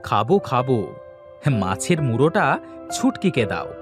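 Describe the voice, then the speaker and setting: Casting: gentle, caring family man voiced by a male actor, animated intonation, cartoon tale with faint music behind. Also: mid-range voice, moderate pace, close to an everyday voice. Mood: affectionate. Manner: affectionate, concerned. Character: kindly, caring father and shopkeeper husband. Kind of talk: Bengali cartoon voice acting.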